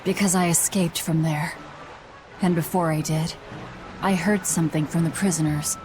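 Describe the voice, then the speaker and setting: A cold young woman on the run, performed by an actress speaks in a lowish voice. The delivery is blunt and curt game drama, with faint music underneath. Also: fairly even intonation, moderate pace, lightly acted. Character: cold, blunt young female fugitive avenger.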